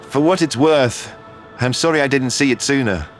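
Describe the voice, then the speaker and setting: A man's voice: medium pitch, moderate pace, soft background music, lightly acted. An actor playing a concerned, loyal human man in earnest, emphatic dialogue from a sci-fi audio drama. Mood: hurt, firm.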